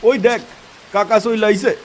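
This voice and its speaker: A man's voice: high voice, steady tone, normal speed, a little hiss or hum, pitched above the performer's own voice, lightly acted, poor audio. A man voicing an eager, chummy young villager in an audio story.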